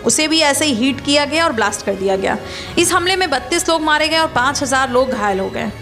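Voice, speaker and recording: A woman's voice: sits high, animated, fairly quick, bright, forceful tone. A woman presenting a news explainer as scripted narration. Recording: music behind the voice.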